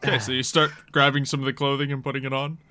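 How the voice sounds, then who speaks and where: A man improvising casual tabletop game narration as game master in a halting, conversational way. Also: medium pitch, flat delivery, bright, forceful tone, no echo. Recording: clear background noise with insect sounds.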